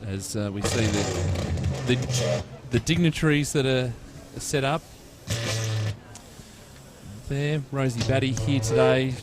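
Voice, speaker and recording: A man commentating a live football broadcast. He talks in an easygoing, conversational way, pausing on fillers, at an ordinary middle pitch.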